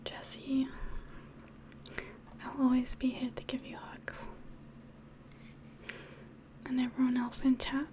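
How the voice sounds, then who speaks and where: A woman with a slightly mixed English accent whispers softly in a rambling, hesitant way, as if hosting an ASMR livestream chat. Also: high-pitched, completely flat delivery, takes it slowly, pauses often. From England. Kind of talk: soft-spoken ASMR chat, mostly whispered.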